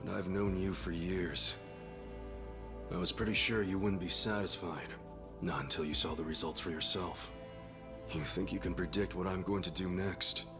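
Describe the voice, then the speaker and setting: A man's voice: low-pitched, steady tone, normal speed, music in the background. A man playing a loyal, resolute man who earnestly confronts his former master in a video game cutscene. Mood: firm, solemn. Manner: earnest, pleading, reproachful.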